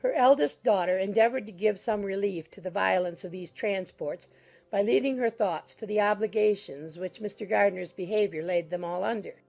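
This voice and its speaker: A woman's voice: mid-range voice, normal speed, gravelly. A woman reading literary narration for a dramatized audiobook. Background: soft music.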